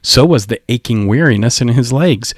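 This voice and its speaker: A man reads a story aloud as an audiobook narrator. His voice sits in a middle register and the delivery is expressive and scripted. The recording is dry, with hardly any room sound. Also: mid-range voice, normal speed, dry recording.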